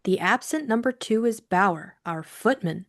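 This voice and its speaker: A woman voicing a spirited, frank young woman in an audiobook, brisk and matter-of-fact. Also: mid-range voice, lively intonation, creaky. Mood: earnest. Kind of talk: audiobook character acting.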